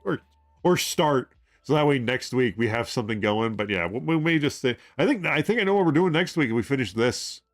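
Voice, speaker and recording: Loud, rambling man chatting while building. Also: mid-range voice, brisk, soft background music. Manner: rambling, excitable, loud when excited; mutters part numbers while building. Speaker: livestream host building model kits. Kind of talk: casual livestream chat.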